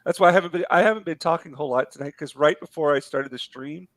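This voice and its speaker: A man hosting a live art stream, losing his voice and talking in a relaxed, rambling way with many fillers. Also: on the high side, fast, no room sound. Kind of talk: casual late-night chat.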